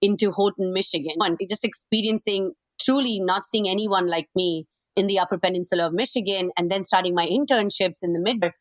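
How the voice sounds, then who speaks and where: A woman's voice: mid-range voice, a little fast, few pauses, no echo, rough recording. A woman from India with an Indian accent, hosting a podcast interview in a conversational style. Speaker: podcast host. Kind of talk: conversational interviewing with personal anecdotes.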